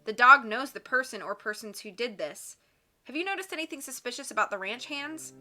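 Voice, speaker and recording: A composed young woman sleuth, read by a woman, who works through clues out loud in a brisk, reasoning way. Her tone is bright and fairly high, as in a storybook reading. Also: animated, faint music behind. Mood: thoughtful. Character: young woman detective, calm and sharp.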